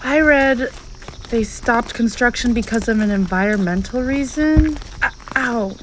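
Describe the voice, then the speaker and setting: A woman's voice: on the high side, unhurried, lightly acted. A woman playing a nervous, hesitant teenage girl in a horror audio play. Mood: nervous, doubtful. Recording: faint background noise, night ambience.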